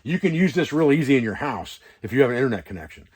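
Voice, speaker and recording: A man's voice: mid-range voice, sing-song, quick pace, a little mellow, breathy edge. Casual man explaining gear. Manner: informal, rambling, explanatory. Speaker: outdoor preparedness instructor and video host. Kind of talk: casual instructional vlog talk. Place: wall tent at a hunting camp.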